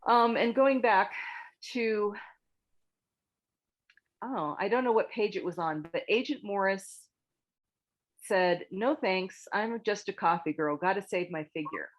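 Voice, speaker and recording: A woman with a medium-pitched voice leads a critique after a script reading. She talks informally and haltingly, choosing her words tactfully and keeping an encouraging manner. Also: highs cut off. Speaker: table read host giving critique. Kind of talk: informal spoken feedback to a group.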